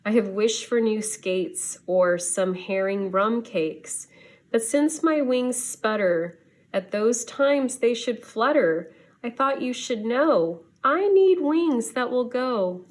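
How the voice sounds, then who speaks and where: A woman's voice: fairly high pitch, lively intonation, takes it slowly, pitched above the performer's own voice. A woman voicing a wistful, earnest male penguin who cannot fly, in a children's storybook. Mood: earnest, pleading.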